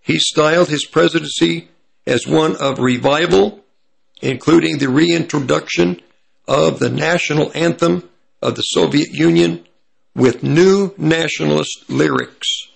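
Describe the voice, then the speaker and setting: A man with a slightly raspy voice from hay fever, giving prophecy commentary as he reads from an article and stops to correct himself. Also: on the high side, poor audio. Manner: pauses to correct himself mid-sentence.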